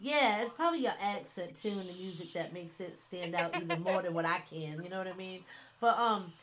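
A woman's voice: lowish voice, a little fast, noisy background. A woman hosting an online radio show who talks in a chatty, rambling way, full of fillers like "you know what I mean". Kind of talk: casual interview chat.

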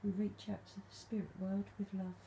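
Hushed, congested woman in a dark bedroom. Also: medium pitch, flat delivery, brisk, gravelly, slight background noise. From England. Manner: hushed, soft-spoken, calling out to spirits. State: congested from hay fever. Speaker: paranormal vlogger. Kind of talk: quiet late-night ghost hunting vlog. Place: her dark bedroom at night, fan running.

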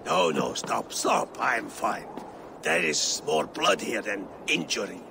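A very gravelly old Viking jarl, proud and battle-weary, speaking slowly and with wide, stately swings. Game ambience sits clearly behind him. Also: male voice, on the low side, sing-song, noisy background, exaggerated voice. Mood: proud, strained. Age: older. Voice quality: gravelly, weathered. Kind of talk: video game cutscene acting.

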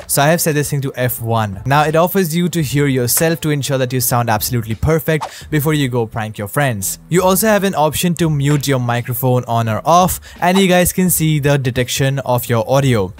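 A man hosting a scripted tutorial and review as a YouTube narrator. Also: medium pitch. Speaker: YouTube host. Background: faint music.

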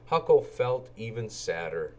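A man reads a children's storybook aloud as a storyteller. His delivery is expressive and animated, with intonation that moves widely from line to line. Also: fairly low pitch, unhurried. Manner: animated, acts out character lines.

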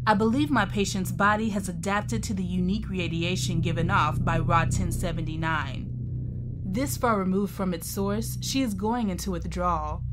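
A woman voicing a firm, confident doctor with a slightly lowish voice. She explains things in calm, formal terms. A clear music bed plays underneath the audio drama. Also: natural voice.

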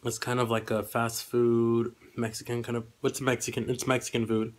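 Young male vlogger, average pitch, little inflection, mildly congested, hesitant off-the-cuff chat. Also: monotone, moderate pace. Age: young adult. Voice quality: slightly congested. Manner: hesitant, rambling. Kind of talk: casual eating vlog chat. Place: small room indoors at home.

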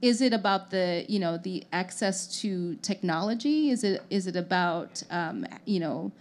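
A woman's voice: on the low side, expressive, measured pace. A young woman from Haiti speaking as an artist on a panel, earnest and thoughtful, often restarting her phrases, into a handheld mic in an indoor lounge. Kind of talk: panel discussion. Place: lounge venue with audience, indoors.

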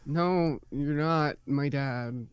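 A woman's voice: sits low, extremely slow, clear, resonant tone. Woman as an eager, awkward cartoon soldier. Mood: awkward, earnest. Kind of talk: improvised comedy fan dub.

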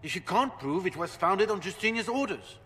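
Haughty fantasy marquis: bright, pompous, indignant; game audio with long reverb. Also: male voice, high-pitched, expressive, fairly quick, a little hiss or hum. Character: haughty noble marquis, middle-aged man. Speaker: game character.

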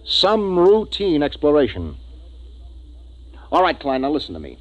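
An actor playing a tense, commanding spaceship captain who also narrates, in 1950s radio drama style. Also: male voice, a little high, huge pitch swings, a little slow. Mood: grim, brooding. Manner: commanding, clipped. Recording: dull vintage 1950s radio recording, music.

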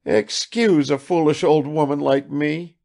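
A man's voice: on the high side, fairly even intonation, measured pace, creaky, a little mellow, pitched above the performer's own voice. A man reading aloud and voicing a tearful, doting little old woman who speaks in a quavering, faltering way.

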